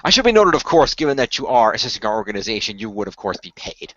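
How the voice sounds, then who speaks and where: A man's voice: on the low side, sing-song, quick pace, a bit bright. A man playing an earnest, persuasive company recruiter in a radio play, speaking formally and wordily with careful hedges. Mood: reassuring, persuasive. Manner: formal, wordy, persuasive, hedging. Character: earnest male company recruiter pitching secret magical project. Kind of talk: improvised radio play roleplay. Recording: dull lo-fi voice recording.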